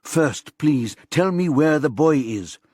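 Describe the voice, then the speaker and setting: A man's voice: on the high side, animated, slow, darkish tone, slightly rough, dry recording, pitched above the performer's own voice, exaggerated voice. A firm, urgent police detective in a crime audiobook, played by a male narrator. He questions insistently but keeps control, in a very dry recording.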